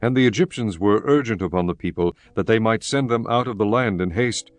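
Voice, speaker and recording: A man narrating scripture from a script in a solemn, measured, formal way. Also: fairly low pitch, no echo. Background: music.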